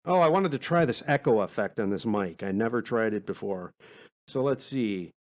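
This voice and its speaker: A man's voice: lowish voice, animated, moderate pace, darkish tone. A man in his forties hosting a casual podcast, rambling along in a laid-back, self-deprecating way. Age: 40s. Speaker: podcast host.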